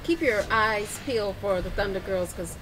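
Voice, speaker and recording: A woman's voice: medium pitch, normal speed, over a noisy crowd. A woman hosting an upbeat on-location interview, often echoing and repeating phrases, recorded in a large indoor hall. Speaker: interviewer, show host.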